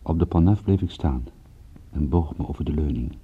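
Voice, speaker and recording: A brooding private detective in a radio play, reluctant and world-weary. The voice is low and very husky, telling the story in a measured way. The old recording sounds thin and boxed-in, like a telephone line. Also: male voice, exaggerated voice. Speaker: narrating lead actor in a radio play. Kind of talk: first-person detective radio drama narration.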